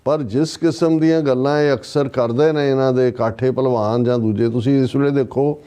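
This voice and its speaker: Male political commentator, Punjabi accent, relaxed warm tone, mid pitch, sardonic and emphatic, conversational delivery. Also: moderate pace, clear, resonant tone. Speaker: political commentator on a talk show.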